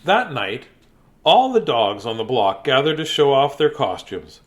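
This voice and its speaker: A man reading a children's bedtime story theatrically, with dramatic pauses and playful asides. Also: medium pitch, very animated.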